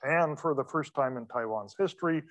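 A man's voice: mid-range voice, normal speed, highs cut off. A middle-aged man lecturing from a podium in a big wood-paneled hall. He keeps it loose and conversational, full of ums and little asides, in an ordinary mid-range voice. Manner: relaxed, conversational, many fillers and asides. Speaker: guest lecturer. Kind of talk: academic lecture. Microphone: podium microphone.

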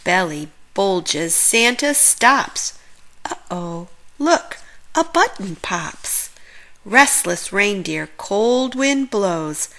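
Female children's story narrator: unhurried, highly varied pitch, expressive read-aloud with dramatic pauses.

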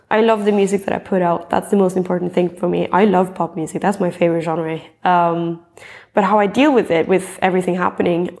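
A woman's voice: mid-range voice, a little mellow. Woman, 20s, from Norway; musician in a sit-down portrait interview, earnest and rambling, clip-on mic. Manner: earnest and chatty, with frequent fillers and restarts. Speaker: pop singer-songwriter. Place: dim indoor room, seated in an armchair.

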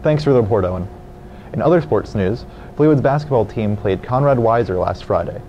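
A man's voice: medium pitch, expressive, fairly quick, dark tone, dull audio, slight background noise. A teenage boy anchoring a school news broadcast, reading his script at the desk with a lapel mic. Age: teens. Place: school studio with virtual set.

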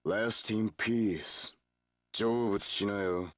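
An actor playing a cocky, cool young samurai warlord in a video game, with rough tough-guy speech and English catchphrases. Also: lowish voice, extremely slow, no room sound, clean studio sound. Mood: cocky, taunting. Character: cocky, cool young male samurai warlord, swaggering one-eyed dragon. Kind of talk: video game battle voice lines.

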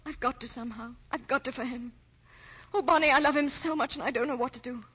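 An actress playing a refined, emotional young woman in love, breathy-voiced, in a 1940s radio melodrama. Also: high voice, fast talker, fairly bright tone. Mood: anguished. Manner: emotional, genteel. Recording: dull old 1940s radio recording.

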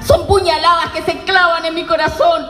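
A woman's voice: very high-pitched, flat delivery, moderate pace. A woman playing a desperate, pleading outcast daughter in a live radio melodrama. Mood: anguished, pleading. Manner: anguished, pleading melodramatic delivery. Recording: echoey hall with music.

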